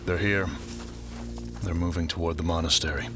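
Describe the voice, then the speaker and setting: A devoted, fanatical henchman in an audio drama. The low voice is solemn and clipped, grimly reverent, under loud music. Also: fairly even intonation, normal speed. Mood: grim devotion. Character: grim, fanatical male henchman devoted to his master.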